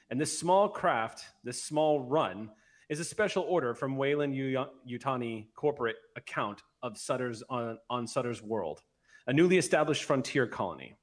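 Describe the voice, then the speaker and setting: A middle-aged man running a tabletop roleplay game as game master, narrating into a close mic with some halting self-corrections. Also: medium pitch, lively intonation. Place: indoor room, on a group video call. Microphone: microphone on an arm, close to mouth.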